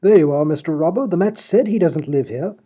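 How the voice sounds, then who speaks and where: A man's voice: fairly high pitch, lively intonation, brisk, husky. A man voicing a prim, polite upper-class lord in a comedy radio play. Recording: dull lo-fi audio.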